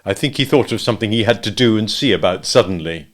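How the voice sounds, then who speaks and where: A man voicing a mild, slow-witted, placid bear from a children's storybook, in a simple, thoughtful way. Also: fairly low pitch, lively intonation, lightly acted. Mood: innocent, vague.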